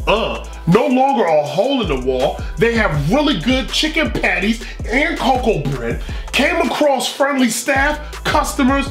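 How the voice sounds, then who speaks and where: A man's voice: sits high, fairly bright tone. A middle-aged man hosting a food vlog, reading reviews aloud with playful, emphatic commentary and repetition. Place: small furnished room indoors. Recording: echoey indoor room.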